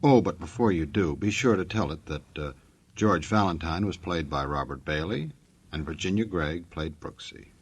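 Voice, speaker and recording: An American man announcing a radio show in a folksy, joking 1940s style with a husky voice. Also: sits low, lively intonation, normal speed.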